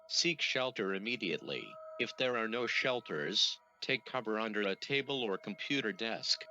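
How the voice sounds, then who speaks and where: A man's voice: fairly low pitch, sharp tone. A synthetic male text-to-speech voice reading a scripted emergency alert in a formal, mechanical way. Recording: lo-fi audio with music behind.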